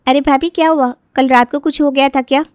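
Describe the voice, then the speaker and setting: A woman's voice: high-pitched, steady tone, brisk, no echo, clean studio sound, close to an everyday voice. A woman playing a defiant, crafty young daughter-in-law who argues and complains in a dramatized moral story. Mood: suspicious. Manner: argumentative, protesting.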